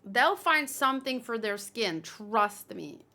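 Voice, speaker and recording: A woman doing casual livestream commentary, reading aloud and reacting in a chatty, expressive way. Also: fairly high pitch, takes it slowly. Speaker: manga read-along streamer.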